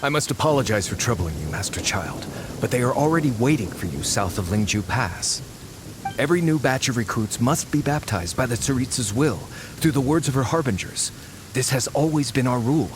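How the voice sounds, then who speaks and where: An actor playing a dutiful military subordinate in a video game, formal, deferential and earnest. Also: male voice, mid-range voice, animated, brisk, noisy background, lightly acted. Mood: solemn, pleading.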